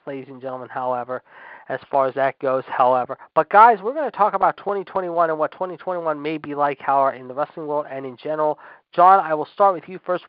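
Man in his forties, radio host, rapid delivery, repetitive stock phrases, announcer-like, dull dry audio. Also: a little high, no room sound. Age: 40s. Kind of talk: casual radio show hosting.

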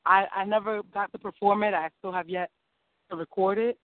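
A woman's voice: mid-range voice, fairly even intonation, no room sound. Upbeat New woman, tinny phone sound. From New York City. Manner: upbeat, eager, quick to laugh. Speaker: singer and rapper guest. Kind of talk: casual interview answers.

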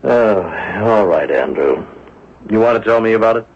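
A man's voice: on the low side, expressive, normal speed, vocal fry, husky, exaggerated voice. An actor playing a wry, sceptical police inspector in a radio mystery drama, drawing out his words in a sardonic, needling way. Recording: dull lo-fi old radio broadcast recording.

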